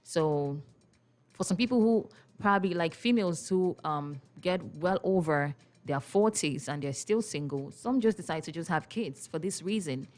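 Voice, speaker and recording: A woman's voice: on the low side, moderate pace, a little mellow. A young Nigerian woman hosting a radio talk show, playful and chatty, with frequent laughs and questions for her listeners in a studio. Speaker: radio host. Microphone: studio microphone.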